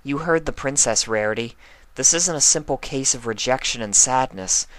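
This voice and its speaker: A man's voice: medium pitch, moderate pace, a bit bright, no echo, pitched above the performer's own voice, natural voice, rough recording. A man voicing an earnest, concerned female pony in a serious manner for a storybook reading.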